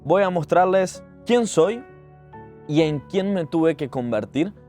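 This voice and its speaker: A man's voice: a little high, animated, takes it slowly, mellow. A man in his twenties with a Uruguayan accent, telling a personal story in an earnest, conversational, reflective way. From Montevideo, Uruguay. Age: 20s. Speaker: digital entrepreneur telling their life story. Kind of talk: personal storytelling vlog, spoken to camera. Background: music.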